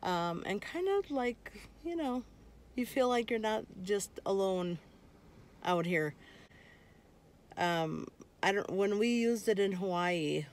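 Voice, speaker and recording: An older woman chatting in an unhurried way to her phone camera, first inside a minivan and then outdoors at a campsite, with many ums. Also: lowish voice. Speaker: vlogger. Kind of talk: casual chat. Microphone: phone held at arm's length.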